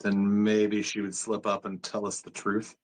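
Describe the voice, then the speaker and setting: A man's voice: lowish voice, monotone, unhurried, lightly acted. A man playing an authoritative psychiatric doctor in a dramatic table read, questioning and insistent. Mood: stern, skeptical.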